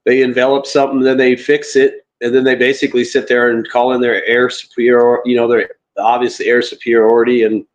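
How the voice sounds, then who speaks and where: A man's voice: medium pitch, little pitch movement, fairly quick, few pauses, poor audio. Rambling male call-in regular. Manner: rambling, searching for words. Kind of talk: casual online discussion.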